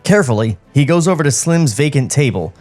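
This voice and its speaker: A man's voice: a little high, very animated, quick pace, a little mellow. A man reading screenplay stage directions as a podcast host, with music behind him. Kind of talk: screenplay narration with joking asides.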